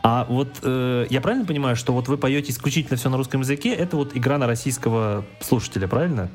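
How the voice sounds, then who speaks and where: A man's voice: medium pitch, fast, darkish tone, soft background music. Chatty male podcast host. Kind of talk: casual interview questions.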